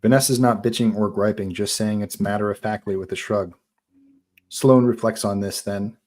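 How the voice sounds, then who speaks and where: A man's voice: lowish voice, steady tone, normal speed, darkish tone. A man narrating stage directions at a live screenplay table read.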